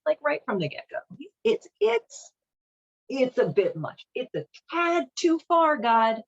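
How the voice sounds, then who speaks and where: A woman giving casual, irreverent commentary as a host, with a sardonic, halting, colloquial delivery. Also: high-pitched, big pitch swings, vocal fry, highs cut off. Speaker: host of a video series on leaving religion.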